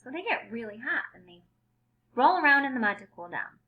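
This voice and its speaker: A woman reading aloud as a kindergarten teacher, deliberate and expressive, explaining words in simple terms for young children. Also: high voice, muffled recording.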